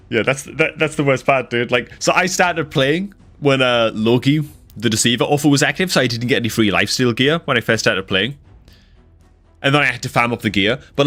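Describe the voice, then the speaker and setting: A man's voice: medium pitch, a bit bright. A young man from northeast England, near Newcastle, with a somewhat mixed northeast English accent, chatting loosely with his stream chat and using lots of fillers. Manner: rambling, filler-heavy, talks to chat. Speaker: gaming streamer. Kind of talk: casual livestream chat.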